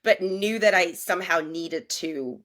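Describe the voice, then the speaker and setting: A chatty young woman from Australia who talks with a bright, forceful tone on a remote video call. Her speech is loose and full of fillers, and she laughs often. She sounds easygoing and open. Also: on the low side, measured pace. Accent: North American-sounding. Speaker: guest, actor and co-director. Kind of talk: casual interview chat.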